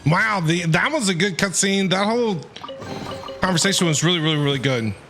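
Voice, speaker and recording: A man's voice: high voice, lively intonation, brisk, bright, forceful tone, faint music behind. A man giving casual, enthusiastic reaction commentary while streaming a game, chatting back to the characters.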